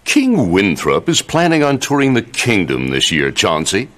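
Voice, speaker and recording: An actor playing a scheming middle-aged royal advisor in a campy video game cutscene, mock-polite and gloating with a theatrical drawl. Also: male voice, lowish voice, wildly swooping pitch, moderate pace, a bit bright. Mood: smug.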